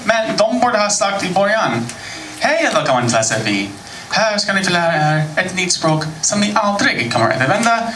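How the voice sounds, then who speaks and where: An American man in his thirties performing a stand-up comedy set on a club stage, with a dry, deadpan delivery. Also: high voice, fairly quick, over music. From United States. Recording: echoey club recording from far off. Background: audience laughter.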